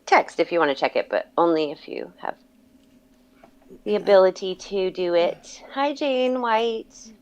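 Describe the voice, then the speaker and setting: A woman's voice: mid-range voice, expressive, measured pace, darkish tone. A woman talking casually as a panelist on a livestream. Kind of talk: casual chat.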